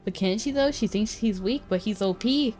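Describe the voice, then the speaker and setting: A woman's voice: mid-range voice, moderate pace. A woman streamer giving casual, playful running commentary on a game story in a chatty, slangy way. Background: faint game music.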